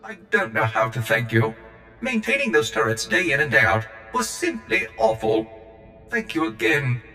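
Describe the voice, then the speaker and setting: In an echoing hall with music behind, a fussy protocol droid for a video game. The voice is bright and very animated, prim and full of theatrical grievance. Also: male voice, on the low side, moderate pace, exaggerated voice. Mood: relieved, grateful. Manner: prissy, theatrical complaining. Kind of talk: video game cutscene acting.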